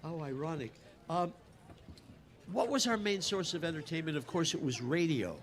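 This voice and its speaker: A man's voice: on the high side, animated, moderate pace, crowd noise. A man hosting a live event, introducing the show and trading playful banter. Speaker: event host. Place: live before an audience.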